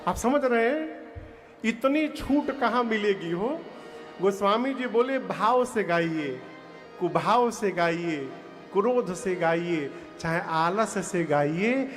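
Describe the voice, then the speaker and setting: High-voiced Bhojpuri preacher, slow and sung. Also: male voice, lively intonation, faint music behind. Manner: alternates speech and slow devotional singing, playful folksy asides. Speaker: kathavachak preacher. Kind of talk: devotional discourse with sung bhajan.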